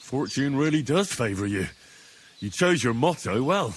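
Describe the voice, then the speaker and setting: A man's voice: mid-range voice, sing-song, moderate pace, a little hiss or hum, close to an everyday voice. A man voicing a modest young commoner hero. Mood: wry, teasing. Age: young adult. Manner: plain-spoken, dry humor. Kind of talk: video game cutscene acting.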